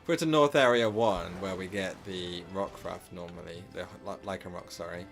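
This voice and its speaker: Hoarse low-voiced man streaming. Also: normal speed, music in the background. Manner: rambling, self-correcting, lots of filler words. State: sore throat, slightly losing voice. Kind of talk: casual live gameplay commentary.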